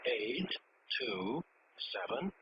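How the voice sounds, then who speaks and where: A man's voice: medium pitch, big pitch swings, very slow, noisy background. A man reading isolated digits as a numbers station announcer, heard over shortwave radio. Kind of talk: slow, isolated spoken digits. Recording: dull lo-fi radio recording.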